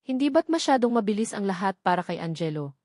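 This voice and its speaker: A woman's voice: medium pitch, sing-song, quick pace, a little mellow, no echo, studio quality. A woman with a Filipino accent voicing a watchful, protective mother in an audio drama. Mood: worried.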